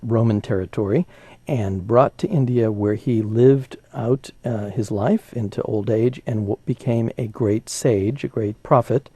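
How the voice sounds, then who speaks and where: A man's voice: on the low side, unhurried, dark tone, slightly rough, dry recording. A man giving background commentary in an informal, conversational, explanatory way.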